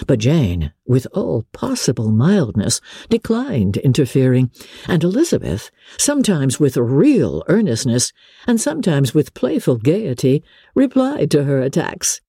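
A woman's voice: low voice, huge pitch swings, measured pace, mellow, slightly rough, dry recording, clean studio sound. A woman reading classic literature aloud as a dramatic, scripted audiobook narration. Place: dry studio.

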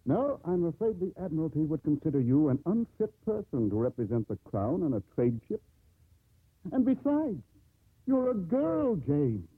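A stern, traditional man who owns ships and disapproves loudly. His husky voice swings widely in pitch with stuffy indignation. It sounds like old, muffled radio drama. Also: big pitch swings, moderate pace, no echo. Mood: disapproving. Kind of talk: 1950s radio drama acting.

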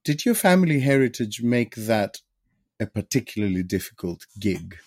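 A man's voice: fairly low pitch, big pitch swings, normal speed, darkish tone, dry recording. A man hosting an interview, asking questions with a dry, wry humor. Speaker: podcast host.

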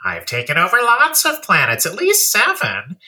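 An exasperated alien commander, played by a man, in an audio drama. His pitch lurches widely up and down as he grumbles, blunt and humorless, like a bureaucrat tired of his job. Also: huge pitch swings, normal speed, sharp tone, breathy edge, no echo, exaggerated voice. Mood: glum, befuddled. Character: weary, grumbling alien fleet commander eager to retire. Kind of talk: audio play acting.

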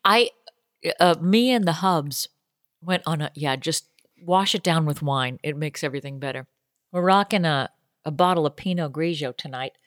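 Low-voiced joking woman podcaster. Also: normal speed, no echo, studio quality. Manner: chatty, joking, laughs often. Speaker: podcast co-host. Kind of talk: casual chat. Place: home basement tiki lounge.

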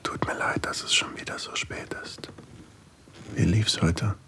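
An actor playing an older single father, tender and longing, in an intimate, softly confiding audio drama narration with a husky, gravelly voice. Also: measured pace, whispered. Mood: soft, affectionate.

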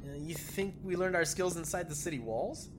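An actor playing an eager, grandiose countercultural hacker in an audio drama, wordy and declamatory. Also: male voice, on the high side, normal speed, noisy background, close to an everyday voice. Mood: brisk, defensive. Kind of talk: scripted audio drama acting.

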